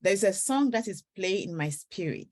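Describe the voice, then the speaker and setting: A woman's voice: mid-range voice, expressive, normal speed, darkish tone. A woman author from Ghana, telling her story earnestly in a faith interview. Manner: earnest storytelling, breaks into song.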